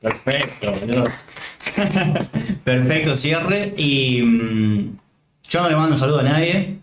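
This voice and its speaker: A man from Catamarca with an Argentine Spanish accent, hosting a relaxed stream chat from a home set, thankful and joking. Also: a little high, slow, reverberant. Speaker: stream host.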